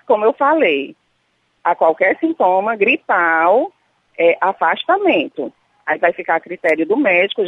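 A Brazilian Portuguese-speaking woman, a nurse, answering interview questions with many hesitations and fillers. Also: medium pitch. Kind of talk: on-site radio interview. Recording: tinny phone-line sound.

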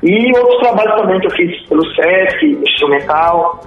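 A man talks as a guest musician, relaxed and rambling with filler tags. His voice sits fairly high for a man. The recording is roomy and a bit dull, like a radio studio. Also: normal speed, faint music behind. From Northeast Brazil. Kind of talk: radio interview answers.

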